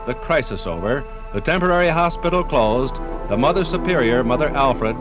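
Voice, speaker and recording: A man's voice: medium pitch, expressive, moderate pace, music in the background. An American man narrating a 1950s radio documentary from a script in a dramatic storytelling manner.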